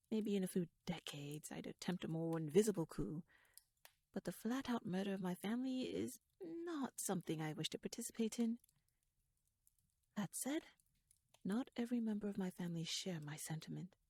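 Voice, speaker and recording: A woman playing a shrewd, verbose young noblewoman in an ASMR audio roleplay, speaking softly in formal, wry, rambling phrases and often slipping into breathy whispers. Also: mid-range voice, no room sound. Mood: wry, guarded. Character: shrewd, wordy young noblewoman, nervous yet playfully bold schemer. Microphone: close microphone, dry.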